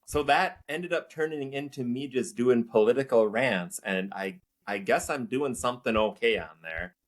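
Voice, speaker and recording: A man's voice: mid-range voice, normal speed. A man with a Minnesotan accent, a guest on a political podcast, talking bluntly in a ranting way. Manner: blunt, ranting, pushes back. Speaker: guest, political commentator and former teacher. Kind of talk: casual podcast interview.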